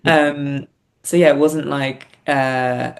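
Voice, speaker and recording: A woman's voice: a bass voice, fairly even intonation, slow. A woman talking reflectively as an interview guest, hesitant, thoughtful and self-effacing. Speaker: podcast guest, playwright and performer.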